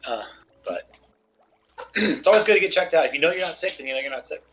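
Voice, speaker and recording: A man in casual chat while gaming online, his voice a bit hoarse, who often clears his throat and rambles in a self-deprecating way. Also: on the high side, animated, fast, noisy background. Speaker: gamer.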